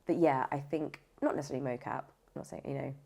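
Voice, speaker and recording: A woman with a low voice and plenty of vocal fry talks in a casual interview style. She speaks emphatically and often circles back to rephrase her point. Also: steady tone, fast, a little mellow. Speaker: voice actor, audiobook narrator, podcast guest.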